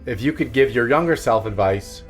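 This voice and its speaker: A man reading a scripted voice-over introduction in a clear, deliberate way. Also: mid-range voice, lively intonation, soft background music. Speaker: video narrator.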